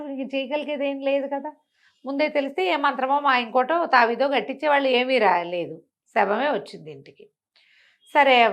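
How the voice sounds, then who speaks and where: A woman narrates a folk tale for bedtime in an everyday, chatty style. Her voice sits at a middle pitch. She is expressive, often asking rhetorical questions and breaking into exclamations as she tells it. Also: creaky. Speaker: storyteller. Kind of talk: oral bedtime storytelling, conversational.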